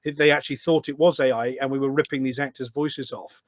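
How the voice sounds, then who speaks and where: A man's voice: medium pitch, steady tone, a little fast, a little hiss or hum. A man chatting casually as an interview guest, telling anecdotes in an enthusiastic, talkative way. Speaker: podcast guest, voice pack producer.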